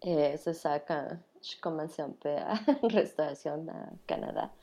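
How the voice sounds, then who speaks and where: A woman's voice: sits low, unhurried, mellow. A woman from Mexico with a Mexican Spanish accent, telling her story casually in an interview, chatty, laughing often and slipping in English words. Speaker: interview guest, restaurant worker.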